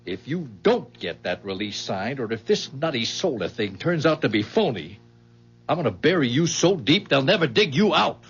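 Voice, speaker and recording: An American actor playing a hot-tempered, bullying company boss in a 1970s radio drama. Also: male voice, medium pitch, big pitch swings, normal speed, faint music behind. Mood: threatening. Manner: forceful, barking, domineering. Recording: muffled vintage radio broadcast.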